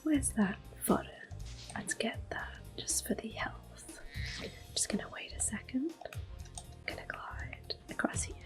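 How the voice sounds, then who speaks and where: Female ASMR gameplay host, hushed and breathy, gentle unhurried delivery, music underneath. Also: fairly high pitch, huge pitch swings. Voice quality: breathy, whispery, soft. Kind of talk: soft-spoken ASMR game commentary with whispers. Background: game music.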